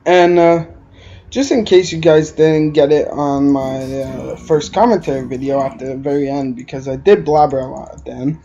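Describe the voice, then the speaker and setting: A man's voice: mid-range voice, steady tone, moderate pace, mellow, lo-fi sound. Rambling man with a Quebec-tinged accent. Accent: Quebec French-tinged English. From Alberta, Canada. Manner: rambling, hesitant, lots of uh fillers. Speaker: gamer. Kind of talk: gameplay commentary.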